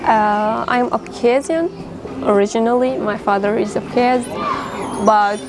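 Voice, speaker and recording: A young woman from Syria, telling her family's story in an interview, halting and full of fillers. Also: fairly high pitch, crowd noise. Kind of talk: personal storytelling.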